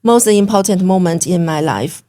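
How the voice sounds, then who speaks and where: A woman's voice: lowish voice, normal speed, warm tone. Woman, Chinese accent, non-native English, hesitant, filler-heavy, interview guest. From China. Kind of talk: interview conversation.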